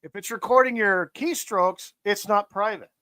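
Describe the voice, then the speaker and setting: Profane high-voiced ranting man. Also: moderate pace, bright, forceful tone, clean tone. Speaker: podcast host. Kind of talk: casual political chat.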